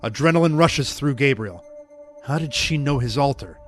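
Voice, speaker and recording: A man's voice: mid-range voice, animated, normal speed. Male narrator, dramatic scripted storytelling for audio drama, light music underneath.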